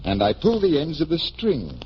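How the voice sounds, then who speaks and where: An actor playing a confident magician detective, explaining with assured showmanship in 1940s radio style. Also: male voice, a little high, huge pitch swings, normal speed. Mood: patient explaining. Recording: muffled, noisy vintage radio recording.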